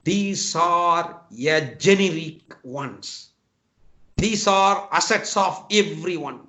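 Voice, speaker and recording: High-voiced Tamil Nadu Indian man lecturing. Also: expressive, takes it slowly, bright, forceful tone. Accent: Tamil Nadu Indian English. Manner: earnest, repetitive, addresses listeners as "my dear friend". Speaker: guest speaker. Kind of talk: online motivational lecture to engineering students. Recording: dull lo-fi online talk audio.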